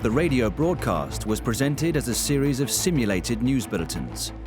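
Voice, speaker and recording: A man's voice: mid-range voice, very animated, normal speed. A man reading scripted documentary narration. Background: music.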